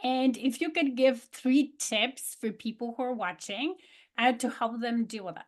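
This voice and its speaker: A woman's voice: a little high, measured pace. A woman from Poland whose first language is Polish, hosting an interview and asking questions in an upbeat, enthusiastic way. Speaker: interviewer, host. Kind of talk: casual interview chat.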